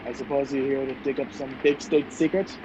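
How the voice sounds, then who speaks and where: A man acting a gallant, puzzled gentleman in a radio play, courteous and questioning as he takes charge. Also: mid-range voice, little pitch movement, a little fast, highs cut off, natural voice. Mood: curious, bemused. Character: gallant, puzzled gentleman helping a frightened stranger. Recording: video call with faint background noise.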